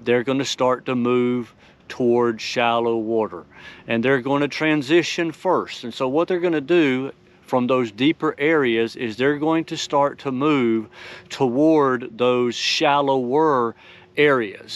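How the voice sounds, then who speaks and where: A middle-aged man hosting a fishing video from a boat on a lake, talking casually with many uhs and ums. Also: medium pitch. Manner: relaxed, filler-heavy explaining with many uhs. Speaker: fishing channel host. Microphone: action camera on the boat.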